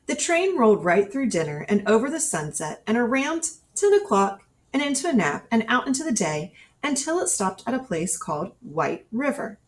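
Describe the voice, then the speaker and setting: A woman reading a children's picture book aloud in an expressive storytelling style, acting out the dialogue as she goes. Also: fairly high pitch, moderate pace, few pauses. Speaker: storybook reader. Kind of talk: scripted children's storybook narration read aloud.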